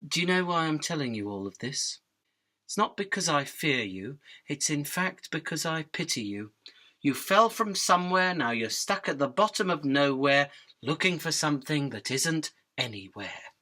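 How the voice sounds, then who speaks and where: A man playing a cheeky, fast-talking fly in a radio drama, pleading and rambling, deferential but impudent. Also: a little high, animated, bright, forceful tone. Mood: earnest, reflective. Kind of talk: illustrated radio drama acting.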